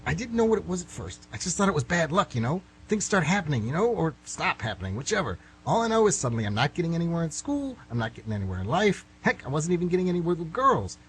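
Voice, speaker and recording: A man's voice: on the high side, a little hiss or hum, low-quality recording. A man acting a flustered, middle-aged guy who nervously rambles and corrects himself in an amateur radio drama. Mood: earnest, pleading. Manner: nervous, halting, self-correcting, excitable. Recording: slightly rough amateur radio recording.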